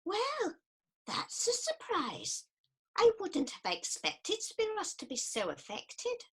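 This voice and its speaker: An earnest, easily offended counsellor acted by a woman in a radio comedy. Her voice is high and extremely animated, swooping up and down with prim conviction. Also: slow pace, full character voice. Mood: matter-of-fact. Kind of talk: radio play acting.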